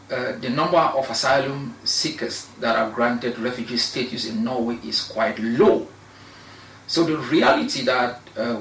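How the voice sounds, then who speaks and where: A young man from Cameroon, a law researcher, giving measured, argumentative answers as an interview guest, with pauses and restarts. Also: mid-range voice, fairly bright tone, recorded from a distance. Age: young adult. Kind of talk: interview discussion. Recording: echoey room, voice off-mic, faint noise.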